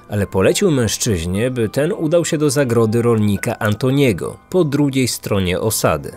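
A man's voice: medium pitch, a little mellow. A man hosting and narrating a true-crime story from a script. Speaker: podcast host, narrator. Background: background music.

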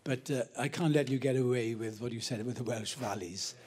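A man's voice: medium pitch, fairly quick, darkish tone. A man from the audience politely challenging the speaker at a conference. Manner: courteous, pointed challenge. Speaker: audience member. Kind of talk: conference question.

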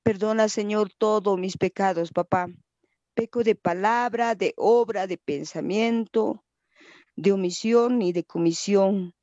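Slow, earnest woman praying aloud. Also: mid-range voice, dry recording, highs cut off. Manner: earnest, pleading, often trails off softly at phrase ends. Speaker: person praying. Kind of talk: spontaneous devotional prayer.

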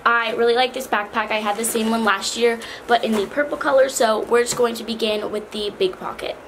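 Teen girl vlogger, slightly hoarse, higher voice, chatty; bedroom with faint fan hum. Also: steady tone, fairly quick. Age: teens. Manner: chatty, bubbly, lots of "like". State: slightly hoarse from cheer camp. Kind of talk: casual backpack vlog. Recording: faint fan noise behind.

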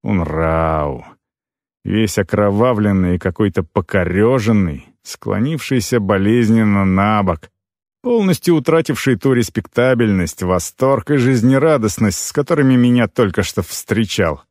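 A man reading a fantasy novel in the first person as an audiobook narrator, with an expressive, dramatic storytelling delivery. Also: on the low side, measured pace, no room sound, clean studio sound.

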